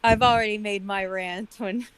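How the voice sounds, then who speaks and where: A woman's voice: mid-range voice, steady tone, moderate pace, crowd noise. A woman co-hosting a casual comedic chat, with dry, wry asides. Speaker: commentary co-host.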